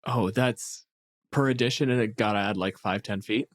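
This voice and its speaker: A man's voice: fairly low pitch, moderate pace, a bit bright, dry recording, studio quality. A man chatting casually as a podcast co-host, explaining monster lore in a wry way with the odd swear word.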